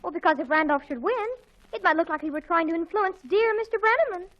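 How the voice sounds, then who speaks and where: An actress playing an excitable, gushing, overdramatic teenage girl in 1940s radio comedy style. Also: extremely high pitch, fast talker. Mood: indignant pleading. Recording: dull old 1940s radio recording.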